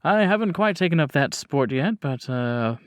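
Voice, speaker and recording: A man voicing an affable, bumbling well-to-do gentleman in a tabletop game, hesitant and chummy. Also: fairly high pitch, expressive, normal speed, no echo, lightly acted. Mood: chummy, boastful.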